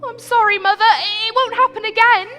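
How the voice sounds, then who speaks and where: Bright, high-voiced young princess. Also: female voice, steady tone, a little fast. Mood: meek, pleading. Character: young princess, earnest, meek, chatty storyteller. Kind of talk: pantomime stage acting and narration. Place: theatre stage. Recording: hall reverb, live stage production.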